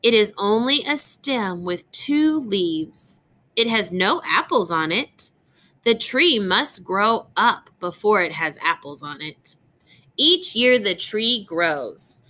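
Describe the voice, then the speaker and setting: A woman teacher reading a picture book aloud to young children, expressive and clearly enunciated, pausing to explain and ask questions. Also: mid-range voice.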